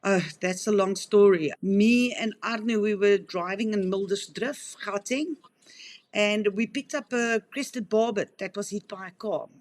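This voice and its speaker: A woman's voice: mid-range voice, a little slow. A South African woman in her fifties who works in wildlife rehabilitation answers interview questions in an earnest, talkative way, often restarting her sentences. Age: 50s.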